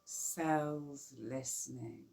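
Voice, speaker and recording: Low mellow lady voice, slow calm yoga nidra guidance, lots of pauses. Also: creaky. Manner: slow, soft, soothing guidance with long pauses. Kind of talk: guided relaxation meditation.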